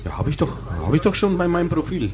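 A man's voice: fairly high pitch, sing-song, quick pace. Flirtatious man, radio sidekick. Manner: flirtatious, playful, exaggerated. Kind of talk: casual joking banter.

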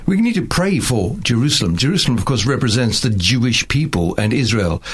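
A man hosts a faith program from a studio. He speaks in a mid-pitched, earnest voice and often pauses with fillers. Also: big pitch swings, slightly rough. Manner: earnest, halting, with many fillers. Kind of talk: hosting a studio interview.

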